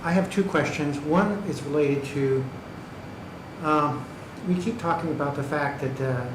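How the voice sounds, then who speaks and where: A man's voice: on the high side, measured pace. A man giving public comment at a county meeting, pressing his points emphatically and checking in with "okay" and "right". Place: county government meeting room. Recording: echoey hall with background noise.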